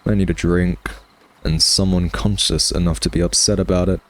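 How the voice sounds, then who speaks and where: Casting: male actor as smug vampire nemesis for ASMR roleplay, very deep, unhurried, drawling, sardonic. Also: creaky, exaggerated voice. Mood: bitter, taunting. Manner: sardonic, taunting, drawling, theatrical. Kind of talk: ASMR roleplay, intimate scripted monologue.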